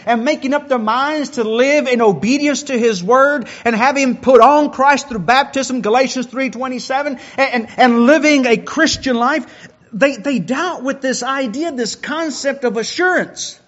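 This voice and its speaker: A man's voice: very high-pitched, fairly bright tone, lo-fi sound. A man preaching a sermon who often repeats words as he builds a point.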